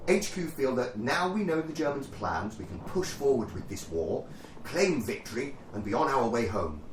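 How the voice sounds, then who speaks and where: A man's voice: mid-range voice, sing-song, normal speed, close to an everyday voice. Man playing pompous British captain, swooping delivery. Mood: businesslike. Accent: British, upper-class. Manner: plummy, hesitant, stiff-upper-lip politeness. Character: jolly, pompous upper-class British army captain in wartime. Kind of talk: radio play acting. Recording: roomy, distant mic, faint noise.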